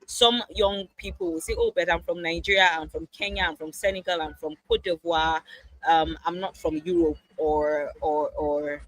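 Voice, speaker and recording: A man with a notably high voice hosts an online session. He puts questions in a conversational style, and the sound is dry, with very little room in it. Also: fairly even intonation, normal speed, a bit bright, no room sound, low-quality recording. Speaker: host of a mentorship session. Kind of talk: conversational interviewing. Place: online group call. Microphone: video call.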